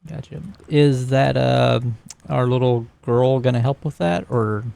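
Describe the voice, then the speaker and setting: A man playing a tabletop roleplaying game, talking casually and describing his character's actions in a halting way. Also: mid-range voice, gravelly, warm tone, dry recording.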